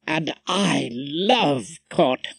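A man's voice: a little high, big pitch swings, moderate pace, a bit bright. A man voicing an ambitious, enthusiastic woman barrister in an audiobook, with a pointed manner. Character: keen, ambitious woman barrister, wife and mother.

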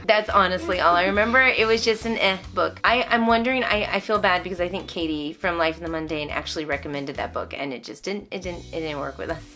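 A woman in her thirties or forties in casual, animated conversation to camera, reviewing things and breaking off her own sentences, recorded in a room at home. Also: on the low side, fast, hardly any pauses, soft background music. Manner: animated, conversational, self-interrupting. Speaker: vlogger and homeschooling mother. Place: small furnished room at home, indoors.

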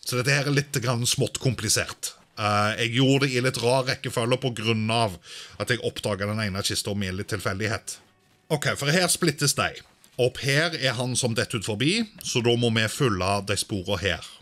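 A man's voice: medium pitch, fairly bright tone. A man doing casual let's-play commentary, thinking aloud and sprinkling in English exclamations. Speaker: gaming video host.

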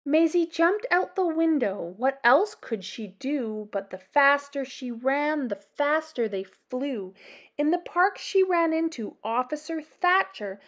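Female storyteller for children's books, higher-pitched, lively and deliberate. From Canada. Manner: animated, deliberate storybook delivery. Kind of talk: expressive picture-book read-aloud.

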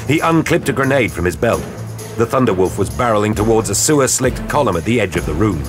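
A man with a deep, low voice narrates an audio drama. His delivery is grave and measured, built for drama, with music clearly playing behind him. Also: very animated.

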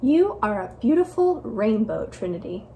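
A woman reading aloud as a gentle, attentive, loving mother in a picture book, with a questioning manner. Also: fairly high pitch, big pitch swings, normal speed, a little hiss or hum. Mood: thoughtful, tender.

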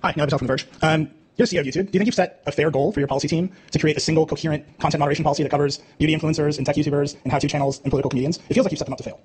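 A man at a conference pressing a blunt, skeptical question from the floor. His voice is husky and slightly high, and he speaks very fast. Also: steady tone, darkish tone. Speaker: journalist asking a question from the audience.

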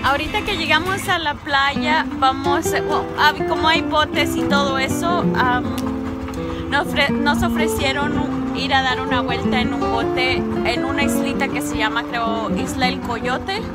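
Woman, thirties, high-pitched, casual travel vlog, outdoors, loud music behind. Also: steady tone, measured pace. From Honduras. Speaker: travel vlogger. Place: outdoors at the sea, on a small open boat. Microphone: handheld camera at arm's length.

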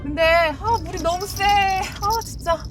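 A woman's voice: very high-pitched, slow pace. A woman boat captain with a Jeolla accent, live-streaming while fishing at sea and bantering brashly and teasingly with viewers. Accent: Jeolla Korean. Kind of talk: casual live-stream chat. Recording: loud music over the voice.

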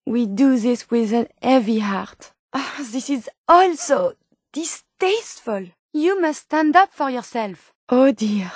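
High-pitched French robot scientist, earnest. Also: female voice, lively intonation, slow pace, dry recording, clean studio sound. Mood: distressed. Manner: polite, formal, precise phrasing. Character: earnest, gentle, curious French female robot scientist companion. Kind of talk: video game character dialogue.

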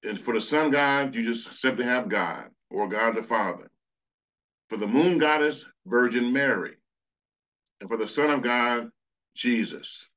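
A man's voice: medium pitch, unhurried, fairly bright tone. A man preaching a sermon over slides, earnest, reading scripture passages aloud. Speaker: pastor.

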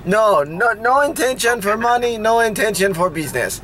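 A man in his thirties voicing a simple, earnest foreign man in halting, repetitive broken English on a prank call. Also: high voice, animated, a bit bright. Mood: flustered denial. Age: 30s. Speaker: prank caller, video host. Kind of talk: prank phone call improvisation. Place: inside a car. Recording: car interior with faint noise.

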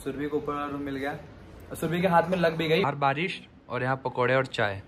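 Fast-talking young man vlogging by a river. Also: on the high side. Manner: chatty, addresses viewers directly. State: slightly sore throat. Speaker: vlogger host. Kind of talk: casual travel vlog. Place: outdoors by a loud rushing river and in hotel rooms. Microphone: phone held at arm's length. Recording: phone video with loud river noise.

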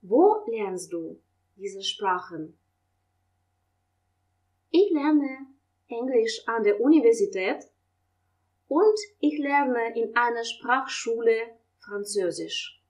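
Slow, clear woman teaching German. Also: fairly high pitch, lively intonation, darkish tone. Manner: reads German questions and answers slowly and clearly, explains in Russian. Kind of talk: language lesson, slow model dialogue.

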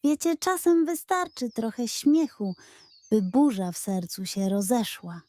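A kind little boy puppy from a children's storybook, acted by a woman storyteller. The voice is raised and mellow, gentle and earnest, with a playful, wise air and an easy pace. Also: on the high side, a little slow, dry recording, close to an everyday voice. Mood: kindly, wise.

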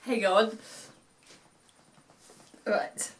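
A middle-aged woman, narrating a children's story in an animated way at home. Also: mid-range voice, extremely slow. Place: living room, seated in an armchair.

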